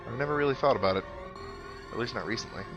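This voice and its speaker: A man's voice: medium pitch, racing. A man giving casual, dryly joking commentary as he plays a video game and reads its text aloud. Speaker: host of a video game playthrough. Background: video game music.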